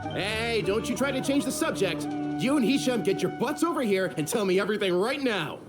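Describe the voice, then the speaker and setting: An actor playing a nosy, excitable male mercenary in a game, eager and pushy. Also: high-pitched, fairly quick, over music. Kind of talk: video game cutscene dub.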